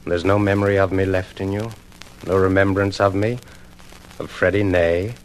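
An old, slightly noisy radio drama recording features an actor as a meek, lovesick man. His voice is low and his delivery earnest, theatrical and flowery. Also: flat delivery, normal speed, natural voice. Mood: ardent. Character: florid, lovesick stranger, meek and pleading. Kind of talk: 1950s radio drama acting.

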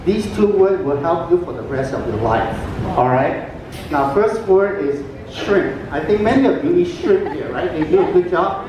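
Brief: older man, Cantonese first language, high voice, chatty stand-up through an echoing ship PA, taped from far back. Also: lively intonation, lo-fi sound, a crowd in the background, noisy background. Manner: chatty joke-telling with frequent pauses and fillers. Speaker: talent show contestant. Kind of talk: stand-up comedy. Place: large atrium on a cruise ship. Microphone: handheld microphone through a PA, filmed from afar. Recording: booming PA echo from a distant camera.

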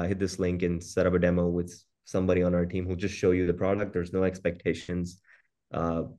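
Man, low pitch, informal webinar presenter, conversational, halting with repeated words. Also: a little mellow. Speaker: company founder presenting product. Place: indoors on a video call. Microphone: video call.

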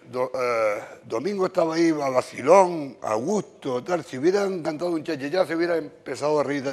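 A man in his fifties with a Canarian Spanish accent, hosting a casual chat in halting, repeated phrases. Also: a little high, darkish tone. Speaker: host. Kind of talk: casual chat before an audience. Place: busy wooden tavern with seated audience. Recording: live venue with clear background noise.

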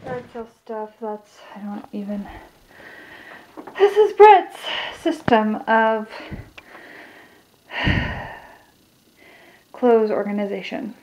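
A woman's voice: fairly high pitch, expressive, slow, long pauses. A young woman giving a casual, rambling tour of her house while filming on a handheld phone, with distracted asides as she walks from room to room. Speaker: vlogger. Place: house, indoors, walking room to room. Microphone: handheld phone camera at arm's length. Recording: muffled phone audio with handling noise.

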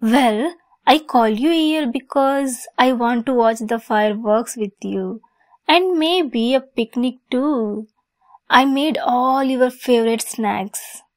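A woman's voice: fairly high pitch, takes it slowly. A woman playing a lovesick, possessive young kitsune fox girl in an ASMR roleplay, sweet, coy and giggly in manner. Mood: coy, nervous.

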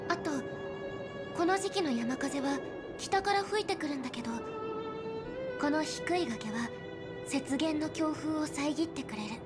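A woman voicing a calm, practical young girl explorer in an anime game, soft-spoken and matter-of-fact. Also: high-pitched, lightly acted.

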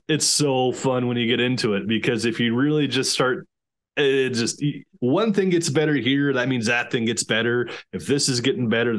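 A man's voice: medium pitch, a bit bright. A man in his thirties, an organic farmer, talking eagerly over a video call with many restarts. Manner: enthusiastic, rambling with many restarts. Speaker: organic chicken farmer, podcast guest. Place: small room indoors.

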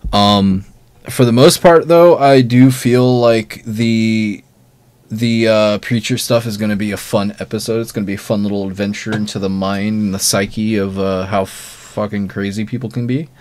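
A man co-hosting a casual panel podcast over an online call, explaining things in a halting, rambling way. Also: lowish voice, a little mellow. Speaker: podcast co-host.

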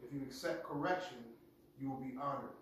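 A middle-aged man reads and explains scripture as an informal group leader. His medium-pitched voice comes from across a very echoey living room, with slight noise behind it. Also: fairly quick, a bit bright. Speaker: group leader teaching youths. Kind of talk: scripture reading and informal Bible lesson. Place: large living room with stone fireplace, indoors. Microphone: phone camera a few metres away. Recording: echoey room, distant phone, background noise.

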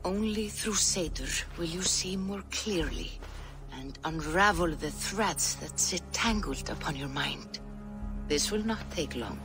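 A solemn Viking seeress, slow and deliberate, with a mystical, measured delivery. Soft game music plays beneath her. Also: fairly low pitch, expressive. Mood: guiding. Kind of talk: video game cutscene.

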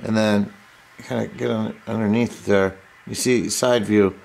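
A man's voice: on the low side, a little slow, vocal fry. A man giving a casual hands-on tutorial, rambling and interrupting himself as he goes. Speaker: host of a repair tutorial. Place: indoor workbench. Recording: noticeable steady background noise.

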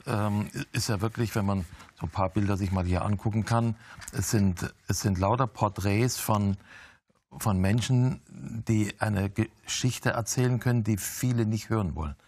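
An older man with a low voice leads the interview in a measured, explanatory way, pausing with frequent ums. He sounds close and clean on a lapel mic in a television studio. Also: darkish tone, breathy edge, no room sound. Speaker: TV interview host.

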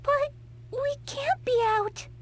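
A woman's voice: very high-pitched, takes it slowly. A woman voicing a chirpy, childlike, pushy toy mascot in a video game, with a sing-song cheerful manner. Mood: cheerful, coaxing. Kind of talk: video game character acting. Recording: noisy game clip.

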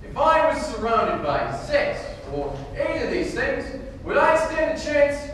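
A man playing an excitable field news reporter interviewing people in a puppet show. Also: extremely high pitch, unhurried, slight background noise, full character voice. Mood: earnest. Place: puppet show stage in small dark theatre. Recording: echoey hall from far off.

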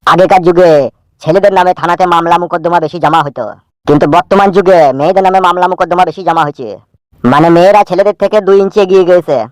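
A man's voice: high voice, steady tone, quick pace, no echo, close to an everyday voice. A man playing a chatty, knowing junior policeman in a comic cartoon, gossipy and opinionated.

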